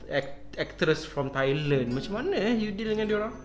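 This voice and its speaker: Malaysian male host in his thirties, chatty interviewer, a bit high-pitched, echoey studio with faint music underneath. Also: animated. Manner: chatty, quick to laugh, finishes guest's sentences. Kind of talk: casual interview chat mixing Malay and English. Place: small studio set with poster backdrop. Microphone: desk mic on tripod.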